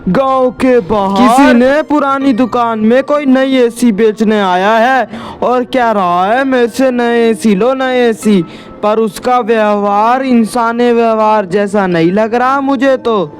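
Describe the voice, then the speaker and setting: A man's voice: extremely high pitch, slow, voice pushed up. A man voicing an elderly villager, earnest and foreboding, in a cartoon horror story. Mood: urgent.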